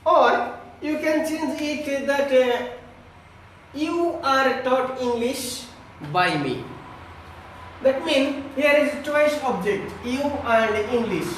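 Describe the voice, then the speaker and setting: A man teaches in a strikingly high voice, recorded in a heavily echoing room away from the microphone. He explains step by step like a classroom teacher, repeating himself deliberately. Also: expressive, a bit bright, a little hiss or hum. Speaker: English grammar teacher. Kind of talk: classroom lesson addressed to students. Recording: echoey room, distant microphone.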